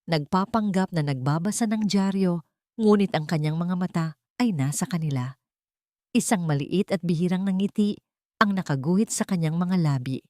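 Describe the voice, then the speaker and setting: A woman with a Filipino accent narrates a scripted romance drama in a slightly low voice. Her storytelling is measured and expressive. The sound is close and dry, with very little room. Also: no echo.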